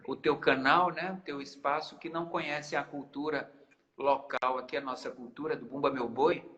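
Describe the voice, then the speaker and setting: A man's voice: medium pitch, fairly even intonation, moderate pace, sharp tone, muffled recording. A man, a guest musician in a casual live-stream interview, explaining patiently and often restarting his phrases. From Maranhão, Brazil. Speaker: guest musician, band founder.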